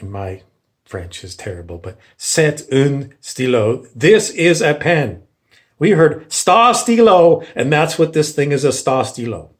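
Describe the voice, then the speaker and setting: A man giving an informal talk, rambling through anecdotes in a casual, animated way and acting out other people. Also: a little high, takes it slowly. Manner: animated, acts out imagined people's complaints. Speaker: speaker giving an informal teaching.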